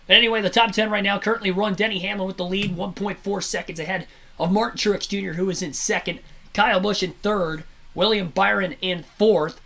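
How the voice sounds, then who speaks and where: Hoarse raspy guy doing race commentary on stream, kinda high voice, a bit nasal. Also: brisk. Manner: energetic, chatty, self-correcting. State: hoarse from sinus congestion. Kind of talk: amateur play-by-play commentary over a race broadcast.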